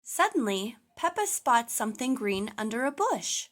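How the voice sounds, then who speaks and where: A woman reading a children's story aloud as host, with enthusiastic, clearly phrased narration for toddlers. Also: fairly high pitch, very animated, moderate pace, a bit bright.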